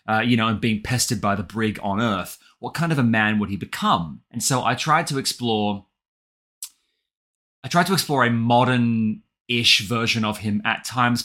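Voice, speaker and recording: An Australian man talking reflectively and articulately in an interview, with an animated storytelling way about him. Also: mid-range voice. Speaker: guest author and audio drama writer.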